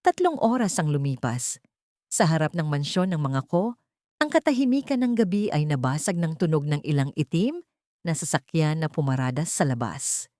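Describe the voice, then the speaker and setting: Female narrator, Filipino accent, for dramatic audio fiction; lowish register, very animated delivery. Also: moderate pace, no room sound, studio quality. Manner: theatrical, expressive.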